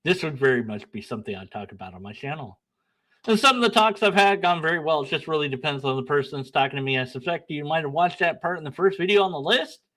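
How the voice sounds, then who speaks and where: Animated, bright-voiced male streamer. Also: on the high side, fast, low-quality recording. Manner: animated, sarcastic, laughs at himself, bickers with himself; blunt, argumentative when reading his replies. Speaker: channel host. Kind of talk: casual solo commentary, reading emails aloud.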